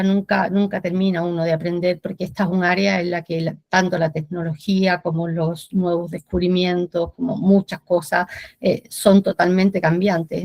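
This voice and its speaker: A woman's voice: lowish voice, gravelly, no echo, lo-fi sound. A woman from Spain in her forties, a medical physicist answering an audience question on a video call, with a Spanish accent slightly tinged Chilean and many hesitant fillers. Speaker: medical physicist, guest speaker.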